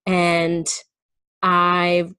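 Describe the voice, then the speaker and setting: A woman's voice: lowish voice, completely flat delivery, extremely slow, bright, forceful tone, smooth, dry recording. A woman guest in a casual personal chat, speaking candidly and informally with emphatic turns of phrase.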